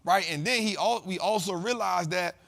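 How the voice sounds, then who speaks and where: A man's voice: high-pitched, moderate pace, gravelly, a bit bright. A man hosting a finance talk show, speaking in a casual, slangy way and building up repeated lines like a preacher. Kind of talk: casual motivational monologue.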